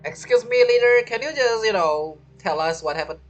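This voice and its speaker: A man's voice: high voice, expressive, moderate pace, dull audio, faint music behind. A young man giving casual, informal live commentary as a gaming streamer, reacting wryly.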